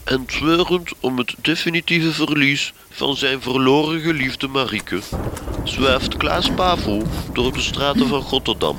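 Man as a slow, melodramatic radio serial narrator. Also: mid-range voice, background noise, lightly acted. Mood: solemn, ominous. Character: solemn, melodramatic male storyteller of gothic serial. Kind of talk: scripted radio drama narration.

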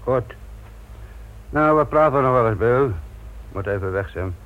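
An actor playing a sly, gruff police inspector in a radio drama, baiting people with feigned friendliness. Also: male voice, medium pitch, lively intonation, measured pace, phone-quality sound, natural voice. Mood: sardonic, needling. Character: sly, needling male police inspector, mock-apologetic, gruff.

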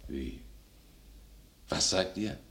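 Urgent royal emissary, low register, very animated intonation, formal period drama. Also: male voice, very slow, heavily acted. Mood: alarmed. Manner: formal, pressing. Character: urgent royal knight sent as messenger. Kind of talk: classical German verse radio drama.

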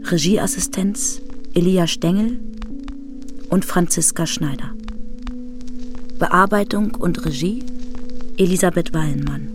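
An announcer reading scripted radio drama credits in a measured, formal manner. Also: medium pitch.

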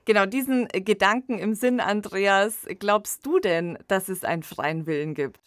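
A woman's voice: mid-range voice, measured pace, no room sound, studio quality. A woman hosting a podcast discussion in a thoughtful, colloquial conversational way. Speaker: podcast host.

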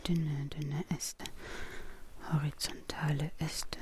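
A woman making hushed, half-whispered poetic fragments outdoors, in a noisy recording. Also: deep voice, little pitch movement, slow. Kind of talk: poetic improvised observation. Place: outdoors in a forest.

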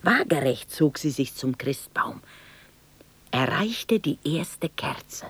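An actress playing an aging, homesick widowed mother from Bohemia in a 1960s German radio drama monologue, reminiscing wistfully and anxiously in hushed, halting fragments. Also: low voice, sing-song, full character voice. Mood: haunted remembering. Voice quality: husky, breathy, creaky.

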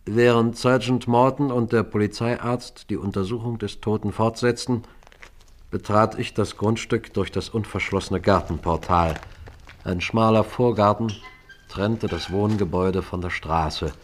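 A man's voice: fairly low pitch, a little slow, natural voice. A man playing a composed police sergeant who narrates a radio crime drama in a precise, formal manner. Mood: recounting, composed. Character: composed police sergeant recounting an old case.

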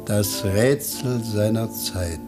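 A grave, watchful companion in a radio play. His voice is low and unhurried, with a solemn, hushed delivery. Faint music lies underneath. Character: sombre, attentive bedside companion of a dying man. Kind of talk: German radio play acting.

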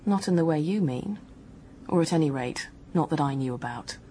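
An actress playing a young, guarded, matter-of-fact English dance hostess in a radio drama, speaking in a brisk, frank, colloquial way. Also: female voice, deep voice, lively intonation, dark tone, slight background noise. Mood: defensive, frank. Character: hard-headed working-class hotel dance hostess, guarded, matter-of-fact.

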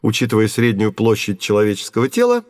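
An actor playing a patient professor who explains science to children didactically in an educational audio drama. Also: male voice, fairly low pitch, very animated, fast, darkish tone, no room sound, studio quality. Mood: explanatory. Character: patient male professor teaching children with hands-on experiments.

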